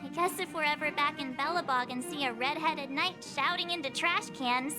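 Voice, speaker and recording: A woman voicing a perky, naive teenage girl adventurer in a video game, chatty and expressive. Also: high-pitched, moderate pace, natural voice. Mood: reflective. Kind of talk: video game character dialogue. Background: game music.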